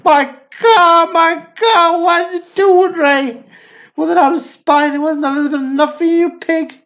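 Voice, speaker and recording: An actor playing a hot-headed, self-pitying Texan brother in a radio drama, with dramatic, folksy outbursts. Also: male voice, very high voice, measured pace, a bit bright, full character voice. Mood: anguished, accusing. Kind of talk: amateur radio drama acting. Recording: dull, lo-fi audio.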